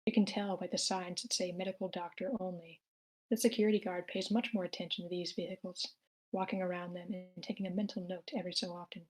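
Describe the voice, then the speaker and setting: A woman's voice: fairly low pitch, little pitch movement, quick pace, darkish tone, faint music behind, poor audio. A woman narrator reading screenplay stage directions aloud.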